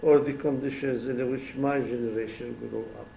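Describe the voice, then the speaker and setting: Older man in his 70s from Syria, retired diplomat, slow and reflective, many restarts, echoey room. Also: medium pitch, steady tone, creaky, dull audio, slight background noise. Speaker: former ambassador, interviewee. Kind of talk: oral history interview. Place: home.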